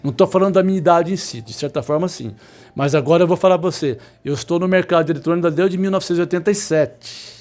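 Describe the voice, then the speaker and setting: Fifties man, high-pitched, an emphatic, repetitive motivational instructor. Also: darkish tone. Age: 50s. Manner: repetitive, emphatic, insistent, with sudden slow stressed words. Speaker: electronics instructor. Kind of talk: motivational talk to students.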